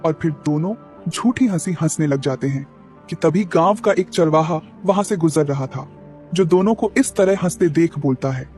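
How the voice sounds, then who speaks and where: A man narrating a cartoon moral story in a steady, explanatory way. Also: on the high side, moderate pace. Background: faint music.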